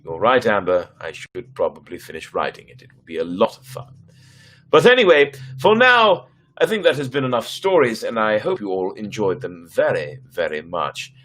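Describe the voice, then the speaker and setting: A man's voice: lowish voice, very animated, moderate pace, a bit bright, slight background noise. A man chatting casually as host of a live story reading, playful and theatrical in his delivery, poking fun at his own writing. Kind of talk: casual livestream chat.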